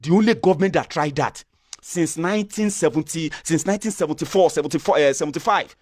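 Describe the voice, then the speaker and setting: A man's voice: on the high side, fast talker, dry recording, studio quality. A Nigerian man with a Yoruba accent giving passionate opinion commentary, repeating and restarting phrases as he argues.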